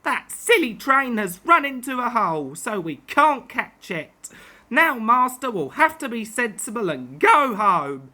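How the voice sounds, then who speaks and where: A woman's voice: on the high side, sing-song, unhurried, sharp tone, full character voice. A woman voicing a weary old female car in a children's story, complaining and wailing. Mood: exclaiming.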